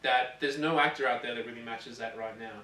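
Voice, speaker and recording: Casual gaming co-host: male, bright tone, rambling and halting, recorded in a roomy space. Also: medium pitch, steady tone. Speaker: video show co-host. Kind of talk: casual chat about games and films. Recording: roomy, distant mic, some ambient noise.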